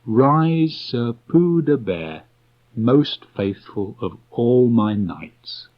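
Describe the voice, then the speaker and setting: A man voicing a young boy in a storybook, tender, dreamy and earnest, speaking haltingly. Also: medium pitch, animated, voice pushed up. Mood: solemn. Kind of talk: storybook character voice. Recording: dull restored cassette tape.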